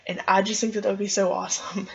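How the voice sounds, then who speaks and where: A woman's voice: medium pitch, little pitch movement, fast, vocal fry, husky, muffled recording. A young woman chatting eagerly and casually as an applicant answering questions in a job interview. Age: young adult.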